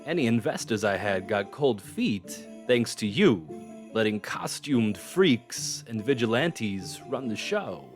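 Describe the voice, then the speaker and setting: A man playing a formal, measured man of power in a superhero audio drama. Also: lowish voice, very animated, soft background music. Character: formal, civic-minded men of power in a city.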